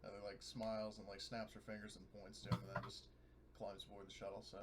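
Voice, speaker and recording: A man's voice: on the low side, monotone, quick pace. Noisy recording of a man playing tabletop. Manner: informal, narrates his character in third person. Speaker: player in a tabletop roleplaying game. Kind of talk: casual game chat. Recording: noisy audio with clicks.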